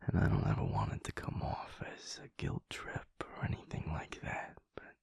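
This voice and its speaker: A man voicing a caring werewolf boyfriend in an intimate audio roleplay, speaking in a soft, hushed, confiding way. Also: normal speed, no echo, in a whisper, studio quality, close to an everyday voice. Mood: tender. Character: caring werewolf boyfriend, young adult male.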